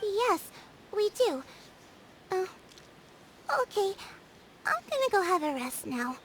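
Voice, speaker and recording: A woman's voice: extremely high pitch, sing-song, slow, slight background noise, full character voice. A woman voicing a shy, sweet, polite little daughter in a video game, with a hesitant manner. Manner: hesitant, timid. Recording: loud game music behind the voice.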